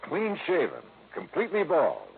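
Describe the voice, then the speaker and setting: A man playing a brisk, confident, wisecracking insurance investigator in a 1950s radio drama. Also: on the high side, wildly swooping pitch, vocal fry, exaggerated voice. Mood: firm, accusing. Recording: tinny, noisy vintage radio recording.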